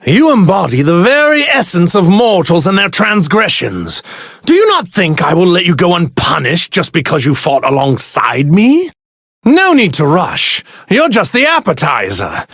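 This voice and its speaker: Haughty villainous god, swooping delivery. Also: male voice, mid-range voice, vocal fry, a bit bright, husky, dry recording, studio quality, full character voice. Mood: condescending menace. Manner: arrogant, disdainful, grandly formal. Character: haughty villainous god in a stolen warrior body. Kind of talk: theatrical anime fighting game voice lines.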